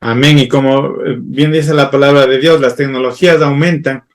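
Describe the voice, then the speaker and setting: A courteous man hosts a church panel. His voice sits at an ordinary middle pitch, and he pauses with fillers and restarts as he frames his questions. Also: steady tone. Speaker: host. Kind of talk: moderating a church panel discussion.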